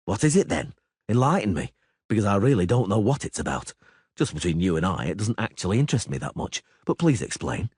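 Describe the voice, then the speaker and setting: A man voicing a calm, world-weary male monster hunter who speaks flatly and sardonically, in a husky voice. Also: lowish voice, brisk, no echo, clean studio sound. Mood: dry. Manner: flat, unimpressed and sardonic.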